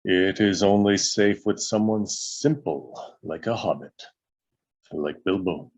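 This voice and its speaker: A man playing a grave, commanding old wizard with a portentous, deliberate delivery in a fantasy script read. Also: sits low, darkish tone. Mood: grave, ominous.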